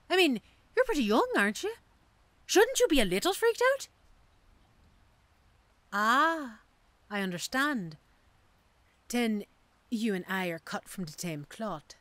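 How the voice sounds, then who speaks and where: A woman's voice: a little high, a bit bright, no echo, exaggerated voice. A woman playing a cocky, street-hardened female mercenary assassin in an audio roleplay, with a teasing, sardonic, theatrical manner. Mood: playful, businesslike. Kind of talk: audio roleplay drama acting.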